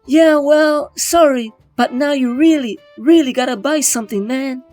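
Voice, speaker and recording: An actress playing a world-weary late-night diner waitress, brusque and wry, in a comic-book drama. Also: female voice, sits high, moderate pace, darkish tone, faint music behind, lightly acted. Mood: brusque, demanding.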